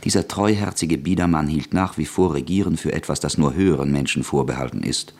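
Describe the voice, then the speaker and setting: A man narrating a literary radio play as a scripted storyteller, with a husky voice. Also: rumbling low, fairly quick, darkish tone, a little hiss or hum.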